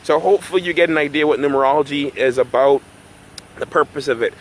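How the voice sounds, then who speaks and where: A man's voice: fairly high pitch, quick pace, low-quality recording. Middle-aged male numerology coach, loose and conversational, recorded outdoors with some faint road noise. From Barbados. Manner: conversational, often tags "right?". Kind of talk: casual vlog monologue. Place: outdoors in a park by road works.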